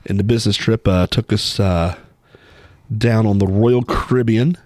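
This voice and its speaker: A man's voice: fairly low pitch, moderate pace, darkish tone, no room sound, studio quality. A man from Ohio co-hosting a casual podcast, telling rambling stories full of fillers. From Ohio, USA. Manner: rambling, filler-heavy storyteller. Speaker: podcast co-host. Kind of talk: casual chat.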